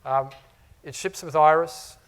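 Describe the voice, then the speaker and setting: A man's voice: a little high, steady tone, unhurried, clean tone. A middle-aged man with a New Zealand accent mixed with Australian, giving a conversational conference talk to customers, with many "you know"s and "uh"s. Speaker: technology architect presenting to customers. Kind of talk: conference presentation. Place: conference room before a live audience.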